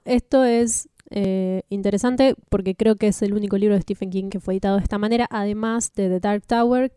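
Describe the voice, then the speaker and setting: An Argentine woman co-hosting a podcast, talking in a relaxed, explanatory way. Her voice is mellow and smooth. The recording is dry and close. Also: mid-range voice, dry recording. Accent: Rioplatense Spanish. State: slight cough. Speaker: podcast co-host. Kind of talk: casual podcast chat.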